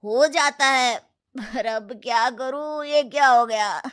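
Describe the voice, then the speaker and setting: A woman voicing a cocky, excitable young male student in a cartoon moral story. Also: sits high, slow pace, clear, resonant tone. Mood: distressed.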